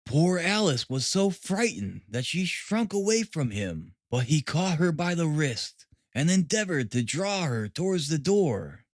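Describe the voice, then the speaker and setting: Man reading as a distressed, high-pitched Victorian mother. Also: big pitch swings, a little slow, few pauses, dry recording, studio quality. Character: distressed Victorian mother giving her frightened account. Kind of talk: audiobook character reading.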